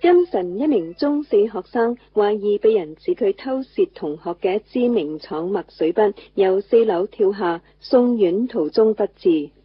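A woman's voice: mid-range voice, animated, lightly acted. Woman playing a formal newsreader, muffled sound. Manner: formal, measured. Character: formal female television news reader. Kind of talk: scripted news reading. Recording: dull broadcast sound, faint music.

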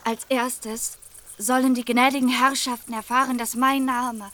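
A woman's voice: fairly high pitch, little pitch movement, a little slow, a bit bright, a little hiss or hum. A woman playing a clever young lady who poses as a distressed princess in a radio play, supplicating in flowery courtly language. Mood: grandly improvising.

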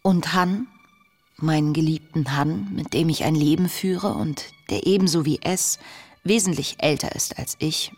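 A passionate, searching young diarist in a radio drama. Her voice is very low and husky, measured and intimate as she confides in her diary. Music plays behind her. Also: a little mellow, exaggerated voice. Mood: reflective, intimate. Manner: reflective, measured, earnest, confessional. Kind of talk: literary radio play monologue, intimate diary narration.

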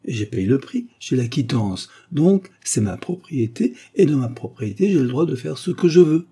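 A man's voice: medium pitch, expressive, unhurried, mellow, slightly rough. A man voicing a stubborn, wily old peasant in a storybook, with rustic, colloquial and cheeky speech. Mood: obstinate. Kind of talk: literary audiobook dialogue.

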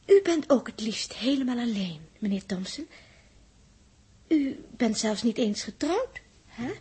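A woman's voice: a little high, very animated, unhurried, a little mellow, breathy edge, full character voice. An actress playing an emotional, easily wounded young space crew member in a 1960s Dutch radio drama, girlish and hesitant in manner. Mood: coy, hesitant. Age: young adult. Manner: girlish, hesitant, stammering when upset.

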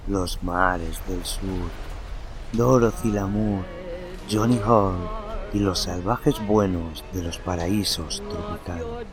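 A man narrating a horror story in a measured, dramatic way, with lingering pauses. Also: low-pitched, steady tone. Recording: narration over background music.

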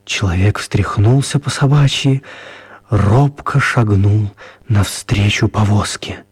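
A man's voice: on the low side, takes it slowly, darkish tone, husky. A man narrating a radio play as a scripted storyteller.

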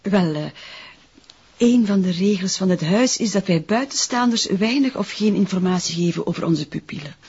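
A stern, discreet Flemish nun in charge of a convent in a detective drama. She speaks formally and carefully in a somewhat breathy, husky voice. The recording is a little muffled. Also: mid-range voice, moderate pace, a little mellow. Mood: guarded, firm. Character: guarded, stern female convent director, a nun. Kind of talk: naturalistic detective drama dialogue.

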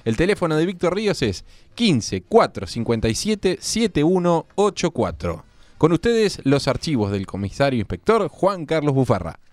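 A man announces on live radio in a lively, slightly high voice, adding informal asides. The sound is dry and close to the studio microphone. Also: normal speed, no echo. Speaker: radio show presenter.

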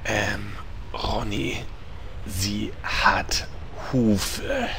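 A man playing a doubtful male guild member who speaks slowly, half in a whisper, in a parody audio drama. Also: fairly low pitch, bright, forceful tone, a little hiss or hum, full character voice.

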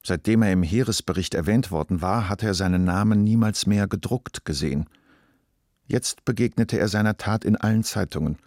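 A man's voice: fairly low pitch, normal speed, darkish tone, no room sound, clean studio sound. A man reading scripted literary narration as the narrator of a radio play.

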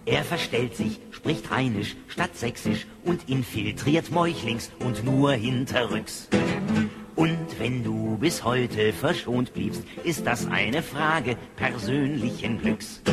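Male singer-songwriter for satirical protest balladry, slow storytelling delivery with loud acoustic guitar accompaniment. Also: mid-range voice. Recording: archive song recording with guitar. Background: plucked acoustic guitar.

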